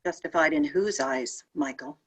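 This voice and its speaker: A woman playing a compassionate, questioning young saint from heaven in a play table read, with a wry, expressive delivery. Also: deep voice, normal speed, breathy edge. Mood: doubtful, concerned.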